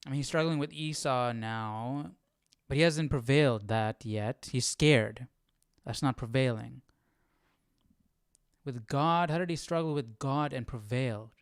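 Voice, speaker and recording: A man's voice: medium pitch, a little slow, clean tone, dry recording, studio quality. A man hosting a Bible study, casually commenting and thinking aloud with frequent questions and check-in tags. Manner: questioning, often adds "right?".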